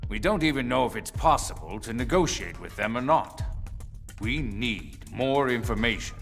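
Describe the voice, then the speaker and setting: A man playing a middle-aged director general in a video game, speaking in a measured, formal, authoritative way. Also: medium pitch, lively intonation, fairly bright tone, soft background music. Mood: grave, firm. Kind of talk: video game cutscene acting.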